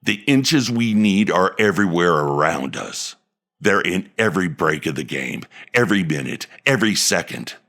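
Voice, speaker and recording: A man voicing an aging football coach who gives a locker-room pep talk with heavy emphasis in a gravelly voice. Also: low voice, fairly bright tone, exaggerated voice. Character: aging male football coach, worn down and fierce. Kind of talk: dramatic monologue read.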